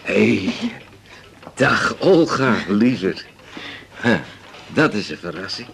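Deep, gravelly, gentle Dutch father. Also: very animated, slow, full character voice. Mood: affectionate, absorbed. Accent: Netherlands Dutch. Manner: affectionate, absent-minded. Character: gentle, distracted older father who loves clocks. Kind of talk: naturalistic Dutch radio drama acting. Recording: background noise of ticking clocks. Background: ticking clocks.

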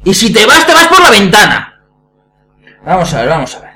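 Guy playing an indignant husband in a radio farce, spain spanish accent, high voice that jumps all over, blustery. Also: wildly swooping pitch, moderate pace, bright, forceful tone, exaggerated voice. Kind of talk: radio play comedy acting.

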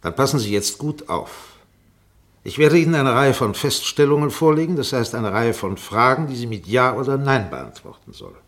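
A man's voice: medium pitch, expressive, normal speed, lightly acted. Relentless barrister played by a man, swooping voice. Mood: quiet, deliberate. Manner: formal, insistent, rhetorical repetition. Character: relentless, shrewd male defence barrister cross-examining. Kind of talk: German radio play courtroom acting.

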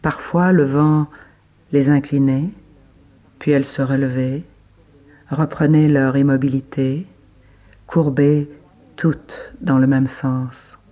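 A man reading literary prose as a scripted narration, with measured, deliberate phrasing and pauses between phrases. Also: medium pitch, little pitch movement, dark tone.

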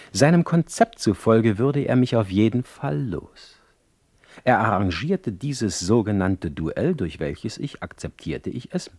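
Radio drama narrator: ailing exiled poet, very low voice, close dry sound, ironic, literary letter-reading. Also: male voice, vocal fry, darkish tone, no echo, full character voice. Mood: grave, reflective. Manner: articulate, literary, wry.

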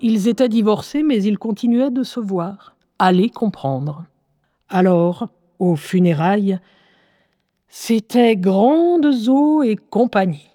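A woman's voice: medium pitch, slow pace, mellow, no room sound, studio quality. An actress playing a wry young woman who hears voices, reading her diary in a radio drama with ironic, confiding asides. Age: young adult. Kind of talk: radio drama first-person monologue.

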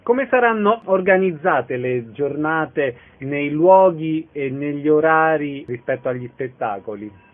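Guy radio host with a slightly high voice, formal interviewer wording, thin phone-like sound. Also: lively intonation, measured pace. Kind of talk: formal prepared interview questions.

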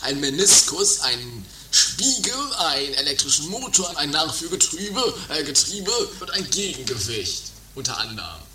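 A man acts out a self-appointed commander in a homemade comedy radio play, eager and bossy. The voice sits fairly high and swings about theatrically, on a roomy, noisy old tape. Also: very animated, moderate pace, hardly any pauses, sharp tone, exaggerated voice. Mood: pompous, eager.

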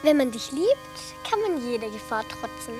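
A woman's voice: high voice, big pitch swings, moderate pace, over loud music. An actress playing a tender, conspiratorial young female confidante in a German radio drama. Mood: tender coaxing.

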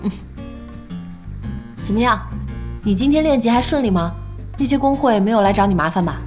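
A woman's voice: medium pitch, expressive, fairly quick, music in the background, lightly acted. An actress playing a spirited, bossy young female internet cafe owner in a Chinese audio drama, speaking frankly and expressively. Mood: businesslike, casual.

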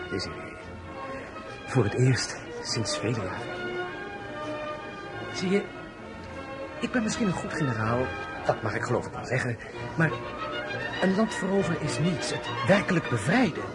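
Radio play general: earnest, idealistic, medium male pitch, loud music underneath, acted by a man. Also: sing-song, moderate pace, long pauses, lightly acted. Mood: resolute, hopeful. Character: Bernadotte, earnest idealistic general and devoted husband.

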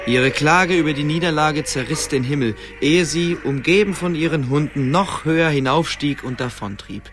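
A man's voice: fairly high pitch, normal speed, noisy background. A man narrating a radio drama as a scripted, dramatic storyteller. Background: faint music.

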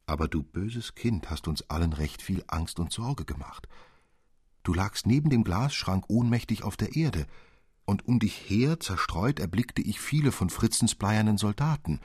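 A man's voice: lowish voice, expressive, moderate pace, a little mellow, breathy edge, no room sound, clean studio sound. A man reading the part of a stern, worried mother who scolds her sick child in a radio story.

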